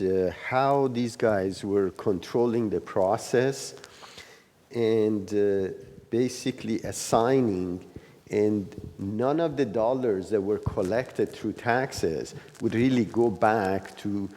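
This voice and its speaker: A man's voice: on the low side, warm tone. A man leading a class, informally explaining a reading in loose, hesitant phrases as he searches for words. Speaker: class facilitator. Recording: reverberant hall.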